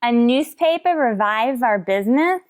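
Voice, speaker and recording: A woman's voice: a little high, lively intonation, normal speed, no echo, close to an everyday voice. A woman playing a skeptical, sassy tavern wisecracker in an old-time radio comedy.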